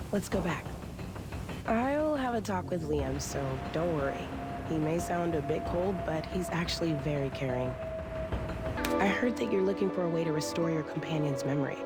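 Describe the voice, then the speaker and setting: An actress playing a kindly, encouraging militia fighter in a fantasy game, speaking in a gentle, reassuring manner. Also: female voice, sits low, close to an everyday voice. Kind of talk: scripted game dialogue. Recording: loud music under the voice.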